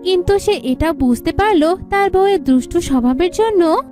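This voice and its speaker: Very high-pitched woman storyteller. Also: animated, normal speed, darkish tone. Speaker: narrator. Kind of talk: scripted children's cartoon story narration. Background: music.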